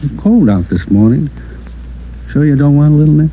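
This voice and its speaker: A man's voice: fairly high pitch, lively intonation, fairly quick. An actor playing a folksy, friendly man in a 1940s radio drama. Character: folksy, friendly civilian man offering a nip. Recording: tinny, faintly noisy old radio recording.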